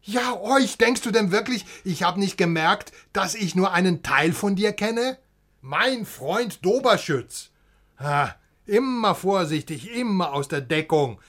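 High-voiced, exasperated man. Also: lively intonation, unhurried, fairly bright tone, heavily acted. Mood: bitter, hurt. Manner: agitated, needling, repeats himself. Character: exasperated, wounded West German friend, passionate and probing. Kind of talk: naturalistic radio drama acting.

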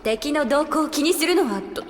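Haughty, high-voiced elder sister. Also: female voice, lively intonation, moderate pace. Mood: flustered, defensive. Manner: haughty, snappish, defensive. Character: proud, flustered elder sister, young woman warrior. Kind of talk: Japanese audio drama acting. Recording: echoey, long reverb, faint noise.

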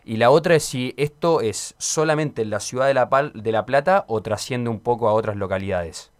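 A man's voice: mid-range voice, normal speed. A Chilean man interviewing a guest on community radio, hesitant and full of filler sounds.

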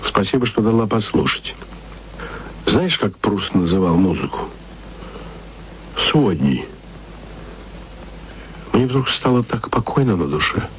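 An actor playing a tender, literate lover in an intimate radio-play monologue, with theatrical, drawn-out phrasing. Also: male voice, fairly low pitch, expressive, slight background noise, low-quality recording. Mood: confiding, wistful. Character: tender, musing literate lover speaking to his beloved.

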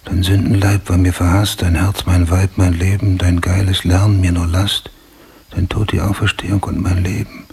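An actor playing an obsessive hard-boiled detective in a German radio drama, terse, coarse and brooding, with a gravelly voice. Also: male voice, deep voice, level tone, moderate pace, a little mellow, full character voice. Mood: grim recital. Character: obsessive hard-boiled male police detective hunting a killer. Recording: music laid under the voice. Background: music.

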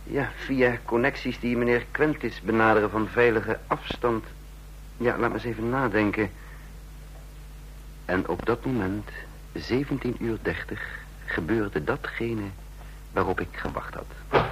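An actor in an old radio play playing a stern, shrewd intelligence chief, with husky, authoritative, clipped delivery. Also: male voice, lowish voice, measured pace, long pauses, slight background noise, lightly acted, low-quality recording. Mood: brooding, calculating. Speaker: narrator and lead actor in a radio drama. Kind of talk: vintage Dutch radio play acting.

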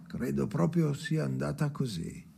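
Creaky, haunted, brooding monologuist. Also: male voice, a little high, background noise. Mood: brooding, uneasy. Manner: fragmented, halting, repeating phrases, often dropping to whispers. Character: haunted brooding man narrating memories alone by the sea. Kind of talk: experimental radio drama monologue.

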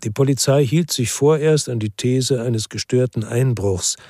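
A man's voice: mid-range voice, moderate pace, mellow, husky, dry recording, clean studio sound, lightly acted. An actor playing a weary, brooding ex-policeman who narrates a radio crime drama in the first person. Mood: brooding, sober.

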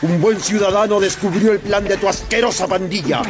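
A man's voice: sits high, fairly quick, music in the background, natural voice. A man playing a stern, commanding police inspector barking orders in a radio drama. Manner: theatrical, imperious shouting.

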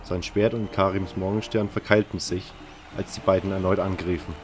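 A man's voice: low-pitched, steady tone, normal speed. A man narrating a scripted audio drama story.

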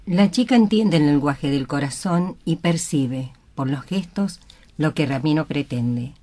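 A woman giving a solemn, literary narration for a radio drama in a measured way. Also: low voice, dark tone. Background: faint music.

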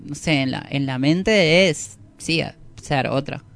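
A woman with a smooth, clear voice and a Rioplatense Argentine accent. She talks like a musician being interviewed on radio, casually and full of slang. Her sentences ramble and she often puts herself down. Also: deep voice, slow. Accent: Rioplatense Argentine Spanish. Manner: slangy, self-deprecating, rambling. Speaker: musician guest.

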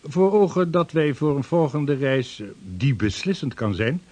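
An actor playing a formal, deferential sixteenth-century trade official in a radio play. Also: male voice, on the high side, lively intonation, moderate pace, darkish tone. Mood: earnest. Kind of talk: radio drama acting.

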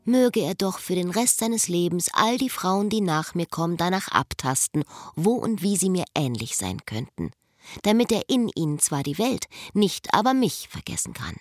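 An actress playing a wistful, bittersweet former village schoolteacher in a radio play, with a measured, literary delivery. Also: female voice, fairly low pitch, expressive, dry recording, studio quality, natural voice. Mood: wistful, melancholy. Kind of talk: radio play acting and inner monologue.

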